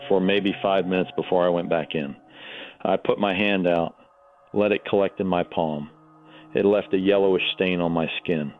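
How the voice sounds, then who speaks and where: Man acting uneasy local caller, very low voice, phone-like sound, plain, descriptive. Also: fairly even intonation, moderate pace, faint music behind. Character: uneasy local man reporting what he saw. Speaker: radio caller. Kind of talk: radio call-in testimony in audio drama. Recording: tinny, phone-like narrow sound.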